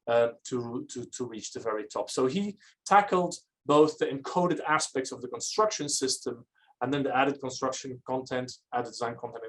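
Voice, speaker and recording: A man from Belgium, giving an academic architecture lecture in a measured, explanatory manner. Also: medium pitch, rough recording.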